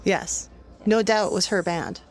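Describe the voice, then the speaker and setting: A woman's voice: medium pitch, slow. A woman co-hosting a casual chat and asking questions.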